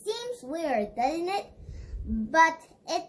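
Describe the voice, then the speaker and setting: A woman's voice: extremely high pitch, sing-song, very slow. A female reader reading an educational children's book aloud, stumbling over words now and then. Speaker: read-along book reader.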